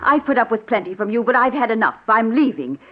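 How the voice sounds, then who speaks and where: Casting: long-suffering housekeeper, fairly high voice, vintage radio drama, slightly muffled sound. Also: female voice, big pitch swings, fast talker. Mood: indignant. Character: female housekeeper, long-suffering, attentive, then indignant and outspoken. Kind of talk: 1940s radio thriller acting. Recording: dull, band-limited old 1940s radio recording.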